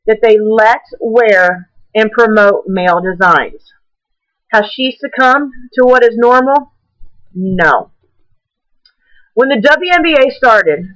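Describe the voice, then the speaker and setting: A woman reading a prepared essay aloud in a deliberate, measured way, now and then stumbling over a word. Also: a little high, a bit bright, poor audio.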